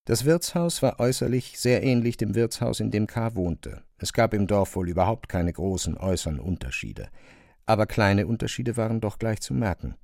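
A man's voice: fairly low pitch, expressive, normal speed, creaky, a little mellow, dry recording, clean studio sound. A man reading scripted literary narration as the narrator of a drama.

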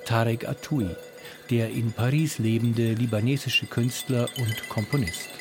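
Man reading a measured radio feature. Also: medium pitch, steady tone, music in the background. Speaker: culture reporter. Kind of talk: scripted radio feature narration.

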